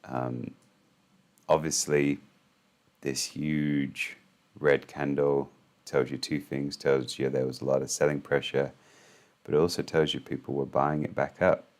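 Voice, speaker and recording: A man with an extremely low, hoarse voice talks through the morning's market moves. He speaks in a casual, halting way, full of ums and restarts, like a host thinking aloud on his own channel. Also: level tone.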